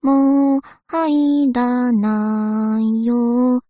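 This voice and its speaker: High-pitched, genteel young woman scholar. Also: little pitch movement, extremely slow, warm tone, no echo, soft background music. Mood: playful singsong. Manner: very formal, courteous, refined phrasing. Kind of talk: scripted game character voice lines.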